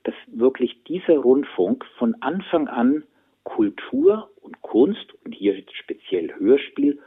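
A man giving expert interview answers in a deliberate, emphatic way, with a husky voice. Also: mid-range voice, huge pitch swings. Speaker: media historian giving an interview. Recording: tinny phone-like line.

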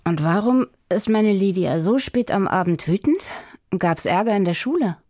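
A woman voicing a sleepy, gentle father who asks concerned questions in a children's audiobook. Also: medium pitch, lively intonation, normal speed, dry recording, studio quality, lightly acted. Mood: drowsy concern. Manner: drowsy, sighing, questioning.

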